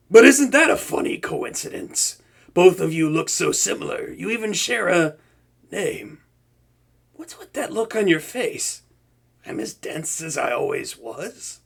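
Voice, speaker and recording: Guy doing a soft-hearted supervillain, bright gravelly voice, slow and theatrical, stumbles on his words. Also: fairly high pitch, exaggerated voice. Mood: flustered. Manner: theatrical, stammering when flustered, giggly. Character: flustered young male supervillain, boastful yet soft-hearted. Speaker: voice actor in an audio roleplay. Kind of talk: comedic audio roleplay acting.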